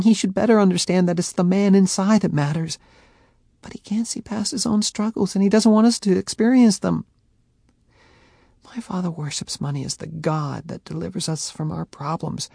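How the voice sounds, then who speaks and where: A man's voice: high voice, vocal fry, warm tone, husky, no room sound, studio quality, voice pushed up, full character voice. A man reading an audiobook, voicing a heartbroken young woman who pleads tearfully and flares into anger. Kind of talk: audiobook character voice.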